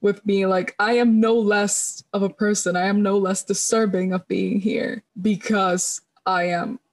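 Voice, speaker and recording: A woman with an ordinary mid-range voice talks through a book with her co-host. She speaks in a measured, sincere way, keeping an even tone. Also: mid-range voice. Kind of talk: casual book discussion.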